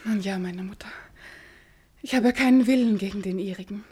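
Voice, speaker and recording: Breathy young bride played by a woman. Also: mid-range voice, moderate pace, darkish tone, natural voice. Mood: relieved, self-reproachful. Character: young, dutiful, anxious bride-to-be. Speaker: actor. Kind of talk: classical German radio play acting.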